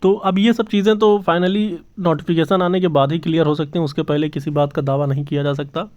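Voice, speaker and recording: A fairly high-pitched man, hosting an exam news update for his online channel. His voice is smooth and clear, but the audio is a little dull. He talks earnestly, circles back to his points and pads them with fillers. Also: fairly even intonation, fairly quick, a little mellow. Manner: earnest, repeats points, many fillers and restarts. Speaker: channel host giving job exam news. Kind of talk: casual news update to camera.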